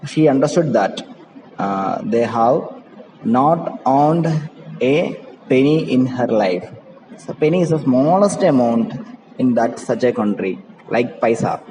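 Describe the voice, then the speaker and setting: A man's voice: mid-range voice, animated, slow pace, dark tone. A man with an Indian English accent, teaching by reading a play aloud and explaining it point by point, often repeating and rephrasing. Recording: echoey reverberant room with background noise.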